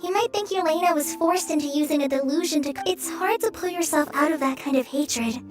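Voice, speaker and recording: Woman doing a youthful empathetic adventurer, super high voice, little distant, soft music. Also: a little fast, natural voice. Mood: thoughtful. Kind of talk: video game voice acting.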